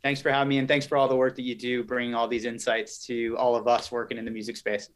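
An articulate man with a mid-pitched voice answers as a guest in an interview. He explains his points in polished business vocabulary, like a company executive talking to peers. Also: little pitch movement, quick pace.

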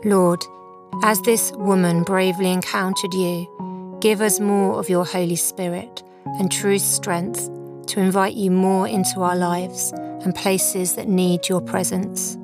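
A woman with a mid-pitched voice presents a scripted devotional. She speaks in a measured, reverent, earnest way, with music clearly playing behind her. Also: level tone. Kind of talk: scripted devotional commentary and prayer.

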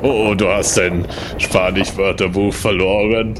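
A giant robot orc acted out by a man speaks very slowly in a low voice, dragging out commanding demands. It is an improvised fantasy audio play with clear vehicle-like noise behind it. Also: fairly even intonation. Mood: slow, imposing. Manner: slow, drawn-out, commanding delivery.

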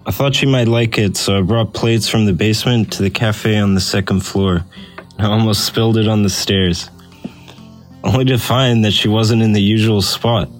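A man's voice: lowish voice, fairly even intonation, normal speed, soft background music. A man reading a personal essay aloud as scripted narration. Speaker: essay reader.

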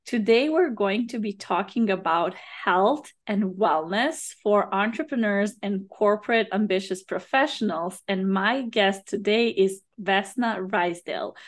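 Woman host for a career podcast, upbeat, mid pitch, soft music underneath. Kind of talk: scripted intro and interview questions.

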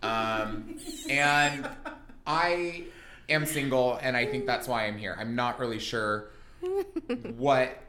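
A man in his thirties, a guest on a casual podcast, jokes around with playful, theatrical asides. His voice is bright and carries an echoey room around it. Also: medium pitch, slow, long pauses, a little hiss or hum. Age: 30s.

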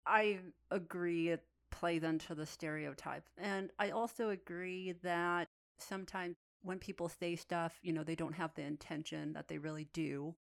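A woman's voice: lowish voice, steady tone, moderate pace, creaky. A young woman co-hosting a podcast, talking in a thoughtful, agreeable way. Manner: thoughtful, agreeable, wraps up the show. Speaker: podcast co-host. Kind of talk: casual podcast chat.

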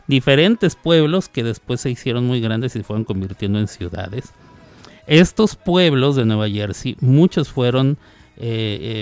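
A mid-pitched man with a northern Mexican accent chats loosely through a live radio show, joking and laughing as he talks. Faint music plays behind his voice. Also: clear, resonant tone, no echo. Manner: chatty, laughs often, playful asides. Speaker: radio host. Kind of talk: casual live radio chat.

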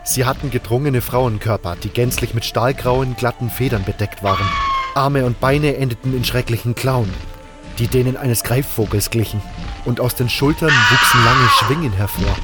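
A man's voice: mid-range voice, normal speed. A man narrating a fantasy audiobook in a dramatic, scripted storytelling style. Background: music.

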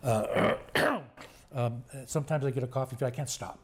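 An older man with a hoarse voice, talking slowly with many fillers in a casual family interview. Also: medium pitch, a crowd in the background. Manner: slow, halting, many fillers. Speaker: grandfather being interviewed.

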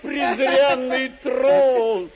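An actor in an old radio drama playing a pompous, idealistic and hot-tempered deluded knight-errant, declaiming grandly. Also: male voice, extremely high pitch, fairly even intonation, drawn-out words, lo-fi sound, a loud crowd, heavily acted. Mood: commanding, threatening.

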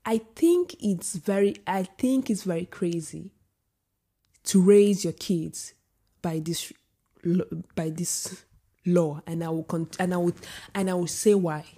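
A woman talks straight to her audience with a low, husky, mellow voice. She is opinionated and grows emphatic as she argues, stumbling and restarting her sentences along the way. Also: slow pace. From Sweden. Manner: opinionated, rising to emphatic outbursts; many restarts and filler words. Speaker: vlogger. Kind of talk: casual opinion monologue to camera.

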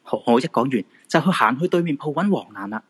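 A man's voice: medium pitch, expressive, fast talker, slightly rough. A man with a Hong Kong Cantonese accent narrating a supernatural radio drama as a storyteller. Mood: suspenseful storytelling.

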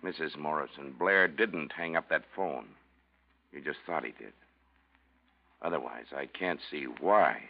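An actor playing a calm police detective, dry and matter-of-fact, in 1940s radio acting. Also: male voice, rumbling low, measured pace, pauses often, exaggerated voice. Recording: muffled vintage radio recording.

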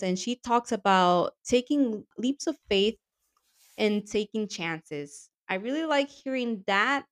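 Upbeat woman, slightly raspy. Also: mid-range voice. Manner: enthusiastic, laughs often. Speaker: podcast co-host. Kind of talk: casual chat about women's soccer.

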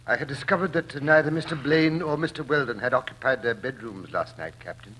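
A man's voice: mid-range voice, brisk, fairly bright tone, close to an everyday voice. Formal butler played by a man, noisy recording. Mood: deferential, grave. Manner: formal, deferential. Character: formal, deferential male butler. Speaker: actor in a radio drama. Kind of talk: 1940s radio acting.

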